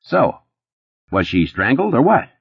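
A man voicing a jittery, boastful, sleazy young playboy who talks fast and nervous, his voice pitched well above his own. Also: wildly swooping pitch, creaky, husky, dry recording, dull audio, exaggerated voice. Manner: rapid, nervous, boastful, pitched high and pushy.

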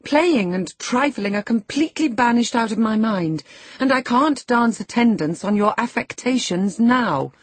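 A woman's voice: medium pitch, very animated, unhurried, dark tone, slightly rough, no echo, pitched above the performer's own voice, full character voice. A woman voicing a spirited, impatient young lady in an audiobook, proud, emphatic and demanding. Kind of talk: audiobook character acting.

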